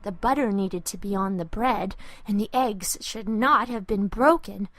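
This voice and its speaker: A woman's voice: medium pitch, measured pace, a bit bright, no room sound. A woman reading a children's storybook as a scripted narration, expressively and with careful phrasing for young listeners.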